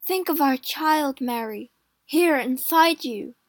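A frightened, weak young husband who pleads and whines through tears, as a woman reader puts him on. The voice rides high and strains with fear, spoken slowly and close to a dry microphone with no room around it. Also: no echo. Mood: frightened pleading. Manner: pleading, tearful. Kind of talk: audiobook reading.

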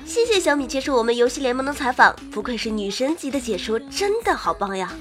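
A woman with a high voice presents an online show as its host. She delivers scripted introductions and sign-offs over faint music. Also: sing-song, quick pace.

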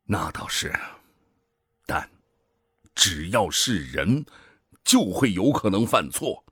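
Sci-fi radio drama, ambitious middle-aged commander: slow, husky, authoritative, coldly persuasive. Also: male voice, low voice, sing-song, fairly bright tone, dry recording, heavily acted. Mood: grave, pointed. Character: cold, ambitious male project commander, middle-aged, coaxing and self-justifying. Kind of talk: Chinese science-fiction radio drama acting.

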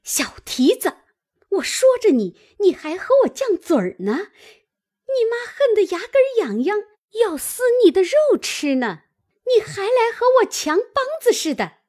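A woman's voice: sits high, very animated, a little slow, warm tone, husky, voice pushed up, full character voice. A woman voicing a foolish, domineering old servant woman in a storytelling reading, scolding and nagging. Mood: angry scolding. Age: older. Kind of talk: dramatised classic novel storytelling.

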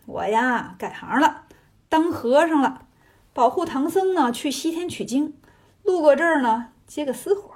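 A woman's voice: high-pitched, animated, a little slow, darkish tone. A woman voicing a cocky male monkey hero in a story, brash, mocking and casual. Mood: bantering.

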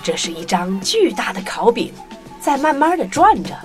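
Animated woman narrator with music behind. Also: a little high, normal speed. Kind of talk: children's story narration.

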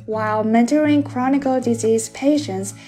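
A woman hosting a podcast, reading a smooth scripted introduction and then asking loose, casual questions. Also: fairly high pitch, moderate pace, faint music behind. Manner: smooth, even narration; loose, filler-laden questions. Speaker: podcast host. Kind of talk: scripted narration and interview questions.

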